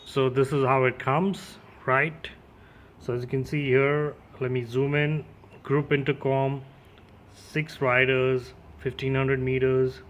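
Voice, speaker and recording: A man's voice: mid-range voice, flat delivery, takes it slowly, a little hiss or hum. A man giving a casual, informal unboxing and demo as a gear reviewer, addressing his viewers directly.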